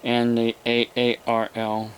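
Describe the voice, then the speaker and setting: An American man hosting an informal, unscripted hobby vlog, talking in a halting, rambling way with long pauses. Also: mid-range voice, monotone, a little hiss or hum. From United States. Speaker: YouTube radio hobby host.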